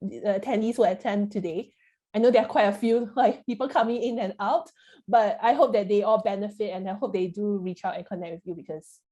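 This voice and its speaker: A woman's voice: medium pitch, steady tone, fairly quick, dark tone, lo-fi sound. A woman hosting a workshop in a casual, chatty way, with informal, wandering phrasing full of "you know" and "like". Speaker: host, moderator.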